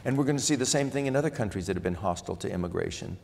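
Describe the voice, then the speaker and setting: A man moderating a panel in a large hall, with a very deep, gravelly voice. He speaks with emphasis but stumbles into repeated words. A long reverb trails his speech. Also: lively intonation, rapid-fire, darkish tone. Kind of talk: panel discussion.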